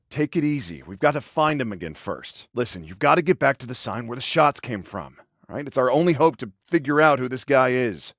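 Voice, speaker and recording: An actor playing an exasperated, protective older-brother police officer in a video game, sarcastic and by-the-book, talking with conversational hesitations. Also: male voice, medium pitch, dry recording, clean studio sound, lightly acted. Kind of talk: video game voice acting, one side of phone conversations.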